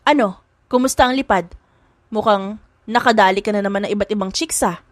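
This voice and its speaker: A woman's voice: mid-range voice, fairly quick, no echo, lightly acted. A woman voicing a cocky, boyish young woman with a blunt, teasing manner in an audiobook drama. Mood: teasing, annoyed. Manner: brash, blunt.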